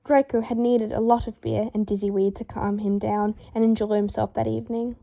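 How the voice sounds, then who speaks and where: A woman reading fan fiction aloud as an audiobook, telling the story in a lively, dramatic way. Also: mid-range voice, a little fast, gravelly, dull audio. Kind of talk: expressive audiobook narration.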